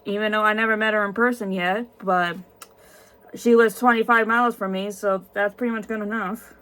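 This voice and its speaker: A woman's voice: mid-range voice, steady tone, fairly quick, fairly bright tone, low-quality recording. A woman in her twenties, tired, answering viewer questions in a casual vlog with many ums and restarts. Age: 20s.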